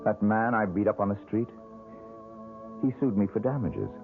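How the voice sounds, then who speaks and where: A man's voice: on the low side, moderate pace, natural voice. An actor in an old-time radio drama playing a bitter, proud, down-on-his-luck painter who speaks curtly and sardonically. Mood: bitter, resigned. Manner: curt, sardonic. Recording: tinny old radio broadcast, music.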